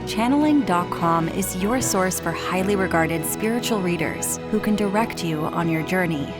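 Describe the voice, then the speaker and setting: Female ad announcer, soothing smooth read; low-ish voice over loud music. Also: animated, moderate pace. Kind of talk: scripted advertisement read. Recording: loud music bed behind voice.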